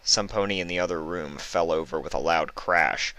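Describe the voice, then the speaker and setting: A man's voice: deep voice, normal speed, bright, forceful tone, no room sound. A man reading a story aloud as scripted narration. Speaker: fan fiction reader.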